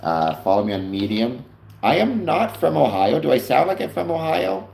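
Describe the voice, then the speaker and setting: A man's voice: mid-range voice, sing-song, normal speed, vocal fry, darkish tone. A Canadian man hosting a casual livestream, rambling with fillers as he answers viewers' comments. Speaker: livestream host. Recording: echoey room.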